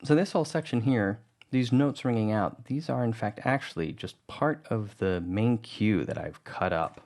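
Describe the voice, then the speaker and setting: A man narrating a music-editing tutorial in a relaxed, conversational way. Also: lowish voice, moderate pace. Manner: relaxed, conversational, thinking aloud.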